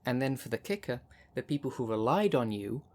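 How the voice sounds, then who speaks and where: A man's voice: medium pitch, sing-song, fairly quick, lightly acted. A man playing a jaded, sardonic thirty-two-year-old witch in an ASMR roleplay, talking in a dry, sarcastic, conversational way. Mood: jaded, wry. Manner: dry, sarcastic, sweary, sighing.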